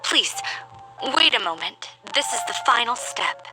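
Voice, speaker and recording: A woman playing a gentle, polite artisan of a fantasy town in measured video game dialogue over music. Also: a little high, very animated, rough recording. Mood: warm, reflective. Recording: game music clearly behind the voice.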